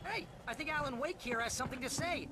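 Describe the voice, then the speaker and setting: An actor playing a loud, wisecracking American best-friend sidekick in a video game, excitable, jokey and casual. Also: male voice, extremely high pitch, fast talker. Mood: joking, casual. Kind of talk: video game cutscene acting. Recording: loud music behind the voice. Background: loud music.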